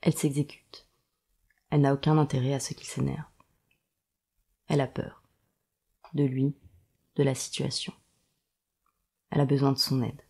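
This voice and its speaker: A woman's voice: extremely low pitch, little pitch movement, moderate pace, gravelly, long pauses, a little mellow, slightly rough, exaggerated voice. A woman acting as a dry, sardonic storyteller, narrating a noir audio drama. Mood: wry, subdued. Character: wry, detached storyteller of a noir tale.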